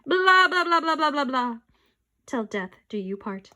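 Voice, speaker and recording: A woman's voice: high voice, big pitch swings, unhurried, pushed and bright, voice pushed up, heavily acted. A woman voicing a pompous male mayor who drones through a wedding ceremony in a storybook reading. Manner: pompous, droning officiant.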